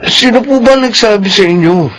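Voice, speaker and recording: A man's voice: high-pitched, moderate pace, background noise, lightly acted. An actor playing a hot-tempered, arrogant young rich man who schemes as an obsessive suitor in a Filipino radio drama. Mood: angry, defensive. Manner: menacing, arrogant.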